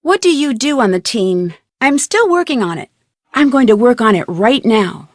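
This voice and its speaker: Inquisitive young detective heroine, dry close sound. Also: female voice, a little high, big pitch swings, normal speed, dry recording. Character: inquisitive, friendly young female amateur detective. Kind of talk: video game dialogue lines.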